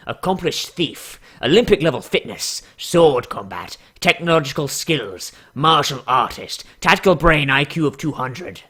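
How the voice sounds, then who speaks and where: A man's voice: mid-range voice, pushed and bright, breathy edge. A man giving casual comedic commentary and mock dramatic readings in a sarcastic, theatrical, foul-mouthed way. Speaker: YouTube host.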